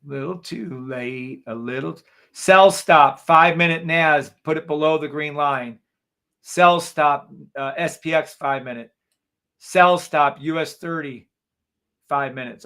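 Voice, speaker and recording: A middle-aged man runs a live trading stream, barking out orders in short bursts and swearing freely. His voice is gravelly but smooth and resonant. The sound is dry and close, with no room echo. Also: a little high, a bit bright. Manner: brash, profane, calls out orders in bursts. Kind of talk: live trading commentary with viewers.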